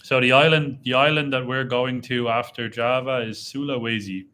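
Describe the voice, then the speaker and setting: A young man with a slight Irish accent, chatting casually on a live stream and reading viewer comments aloud in a relaxed, rambling way. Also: mid-range voice, moderate pace. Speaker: travel vlogger hosting a live Q&A. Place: indoors in a dim room.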